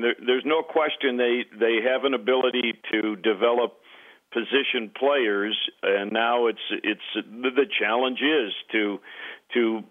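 Guy doing sports radio analysis over a tinny phone connection, kinda halting, repeats words. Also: medium pitch. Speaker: radio play-by-play broadcaster, interview guest. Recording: tinny, narrow phone-like line.

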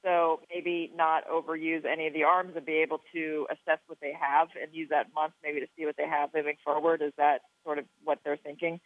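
A woman's voice: low voice, flat delivery, a little fast, few pauses, no room sound. A woman hosting a conversational sports interview, putting questions and comments to a guest. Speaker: host, interviewer. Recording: tinny, band-limited phone-like sound.

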